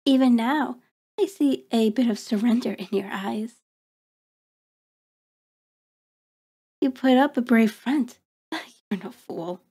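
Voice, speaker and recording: A predatory female vampire acted by a woman toys with her prey in an ASMR horror roleplay. Her voice sits a little high yet is very mellow and dark in tone. She speaks very slowly, with haughty, mocking, formal diction. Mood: mocking, menacing. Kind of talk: ASMR horror roleplay, intimate scripted monologue.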